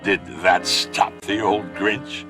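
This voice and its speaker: A man narrating a rhyming storybook in an expressive, sing-song way. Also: sits low, takes it slowly, music in the background. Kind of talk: storybook reading in rhyme.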